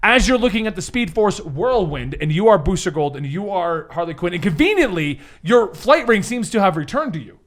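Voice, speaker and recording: A man with a high, lively voice acts as game master at a tabletop game. His narration is casual and jokey, swinging freely in pitch and often breaking toward laughter. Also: brisk, few pauses.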